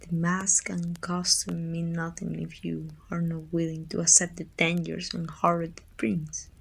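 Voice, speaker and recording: Woman acting a female supervillain, ASMR roleplay, breathy and husky, gravelly creak, pensive, weary, bittersweet. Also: lowish voice, flat delivery, a little slow, exaggerated voice, poor audio. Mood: solemn, probing. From Mexico. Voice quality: breathy, husky, often dropping to a whisper.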